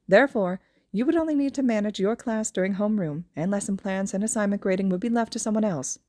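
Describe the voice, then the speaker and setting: A small, cunning animal principal played by a woman in an audio story, pitched up and chipper. He speaks in formal, wordy sentences with cheerful smugness and a sadistic streak. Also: quick pace, warm tone, dry recording, clean studio sound, close to an everyday voice. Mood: chipper, businesslike. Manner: formal, verbose, cheerfully smug. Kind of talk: audio fan fiction character voice.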